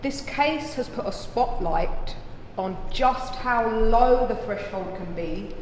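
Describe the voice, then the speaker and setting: A woman's voice: on the high side, animated, slow pace. An actress playing an earnest advocate, a woman speaking formally and rhetorically in a courtroom drama. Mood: grave. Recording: echoey hall, faint noise.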